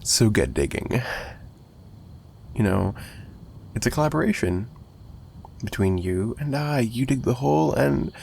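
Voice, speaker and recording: A man playing a possessive, menacing and flirtatious mafia boss in an ASMR roleplay monologue, taunting and sarcastic with drawling pauses. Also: medium pitch, expressive, creaky, a little mellow, slight background noise.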